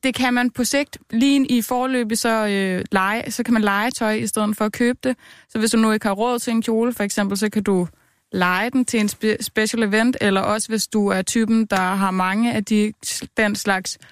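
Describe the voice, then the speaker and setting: A woman's voice: mid-range voice, fairly even intonation, clear, resonant tone, no echo, studio quality. A woman, chatting informally as an interview guest and dropping in English phrases. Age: 20s. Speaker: interview guest, master's student. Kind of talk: casual chat.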